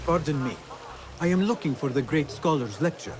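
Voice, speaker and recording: A man's voice: on the high side, animated, close to an everyday voice. Man playing a courteous young assassin, music behind. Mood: polite, curious. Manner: polite, measured, formal. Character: courteous young male assassin in medieval Baghdad. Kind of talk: video game cutscene acting.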